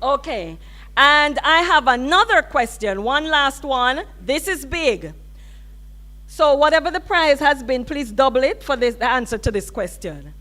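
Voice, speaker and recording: High-voiced woman hosting a uni orientation on a mic, upbeat, egging the crowd on. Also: expressive, a little slow, sharp tone. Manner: upbeat, coaxing the audience. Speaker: orientation emcee. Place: lecture hall with an audience.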